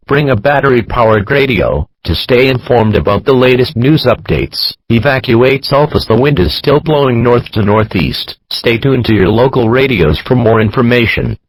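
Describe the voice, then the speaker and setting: A man's synthetic voice reading a scripted emergency broadcast announcement in a formal, flat manner. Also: mid-range voice, normal speed, hardly any pauses, dry recording, poor audio.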